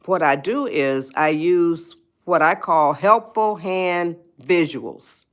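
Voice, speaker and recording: Old lady in her 80s doing a livestream, real slow, goes off on tangents, says hi to folks in chat. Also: on the low side. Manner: slow, rambling, repeats herself, greets viewers by name. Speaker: live-stream advice host. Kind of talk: spontaneous livestream talk.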